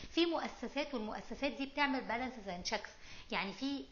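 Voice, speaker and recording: A woman's voice: on the high side, fast, fairly bright tone, dull audio, slight background noise. A middle-aged woman with an Egyptian accent giving informal, emphatic explanatory commentary to camera, mixing in English terms. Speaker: host of a political explainer video series.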